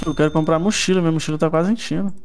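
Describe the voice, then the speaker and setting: A man's voice: a little high, steady tone, racing, a little mellow, a little hiss or hum. A man with a Brazilian accent, talking casually and in slang with his friends while playing a roleplay game. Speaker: gamer in a group roleplay session. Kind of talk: casual gaming chat with friends.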